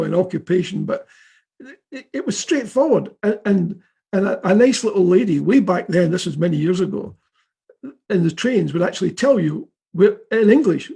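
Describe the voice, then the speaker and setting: A male host with a very gravelly, husky voice that sits fairly high for a man. He talks in a chatty way in a casual interview. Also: normal speed, mellow.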